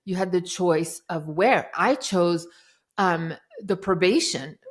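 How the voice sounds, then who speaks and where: A middle-aged woman, a life coach guest on a podcast, telling her story in a lively, dramatic way. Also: lowish voice, takes it slowly. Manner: animated, dramatic retelling with acted-out quotes. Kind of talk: casual interview storytelling.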